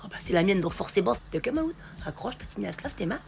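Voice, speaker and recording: A hoarse woman chatting familiarly as she cooks, calling the viewer pet names. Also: mid-range voice, very animated, fast talker, a little mellow. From northern France. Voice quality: gravelly. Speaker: home cook. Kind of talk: casual cooking vlog chat. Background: background music.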